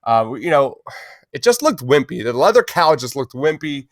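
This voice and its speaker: A man's voice: mid-range voice, big pitch swings, normal speed, no room sound, clean studio sound. A man hosting a casual pop-culture podcast, talking informally and enthusiastically with plenty of slang. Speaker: podcast host.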